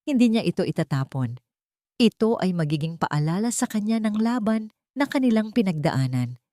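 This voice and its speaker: A woman's voice: mid-range voice, big pitch swings, moderate pace, dark tone, dry recording, clean studio sound. A woman with a Filipino accent reading a scripted, sentimental romantic drama as a storyteller.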